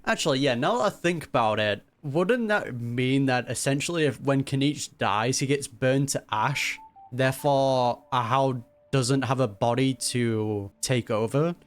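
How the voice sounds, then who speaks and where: A man's voice: medium pitch, measured pace. A man giving casual, slangy, joking commentary while reacting to a video game. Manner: joking, slangy, laughs easily.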